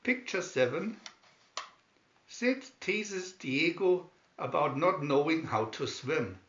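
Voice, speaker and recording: Slow, higher-voiced man reading a storybook. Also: expressive, a bit bright. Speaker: reader of picture-story booklets. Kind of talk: slow scripted storybook narration. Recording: dull lo-fi, roomy echo.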